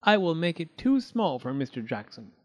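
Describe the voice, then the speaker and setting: A man's voice: on the high side, big pitch swings, moderate pace, a little mellow, voice pushed up. A man voicing a fussy, houseproud little female mouse who frets and scolds. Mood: fretful. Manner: fretful, scolding. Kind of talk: storybook character voice.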